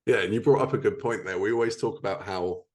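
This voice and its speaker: A man's voice: on the low side, fast talker, a little mellow. A man hosting a podcast, chatting casually as he interviews a guest about writing. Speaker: podcast host and fellow writer.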